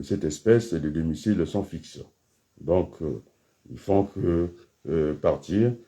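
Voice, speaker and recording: Deep dark-voiced man, rambling and animated. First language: French. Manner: rambling, hesitant with many fillers, rising into emphatic outbursts. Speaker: political commentator. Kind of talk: political monologue to camera.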